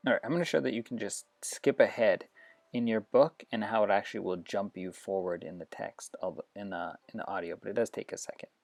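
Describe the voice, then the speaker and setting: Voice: low-pitched, a little fast, vocal fry, darkish tone, dry recording, soft background music. An educator giving an informal, explanatory demonstration with read-aloud passages. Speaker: educator demonstrating reading apps. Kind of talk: instructional screen demo with read-aloud passages.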